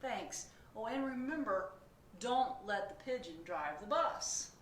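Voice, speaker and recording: A woman doing a loud, bossy bus driver. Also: on the high side, lively intonation, slow pace, voice pushed up. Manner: loud, emphatic instructions. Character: brisk, bossy adult male bus driver. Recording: echoey room, distant mic, faint noise.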